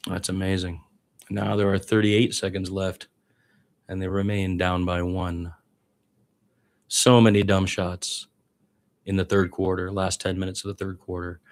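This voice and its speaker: A man's voice: deep voice, flat delivery, unhurried, pauses often. A man doing live radio-style basketball play-by-play as a partisan fan, with exasperated asides about his team.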